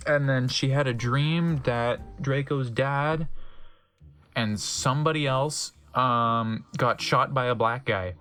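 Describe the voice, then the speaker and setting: Comic reader-host, wry and informal, medium pitch, light music underneath. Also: takes it slowly, a bit bright, clear, resonant tone. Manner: wry, amused, sweary. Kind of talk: comic dramatic reading with casual asides.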